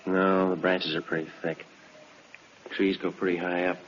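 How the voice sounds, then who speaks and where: Man acting as police detective, low voice, clipped and matter-of-fact, old radio crime drama, dull noisy recording. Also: flat delivery, normal speed, natural voice. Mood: terse, watchful. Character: terse, methodical male police detective searching outdoors.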